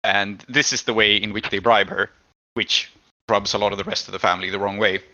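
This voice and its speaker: Male game master, bright crisp delivery, improvised narration with thoughtful hesitations, slightly dull lo-fi recording. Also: lowish voice, little pitch movement, a little fast.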